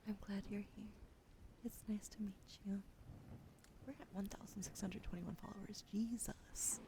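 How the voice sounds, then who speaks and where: A sleepy woman streaming ASMR with a sore throat, switching between soft whispers and casual chat about games into a close microphone. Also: mid-range voice, steady tone, normal speed. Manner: soft whispering between chatty gaming talk. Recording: noisy stream audio.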